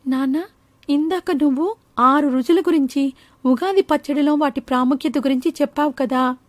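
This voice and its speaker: A cheerful, curious village child, acted by a woman for a cartoon moral tale. The pitch sits very high and the sound is close and dry. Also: moderate pace, no echo, close to an everyday voice. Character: curious, cheerful young village girl, farmer's daughter. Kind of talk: animated moral story acting.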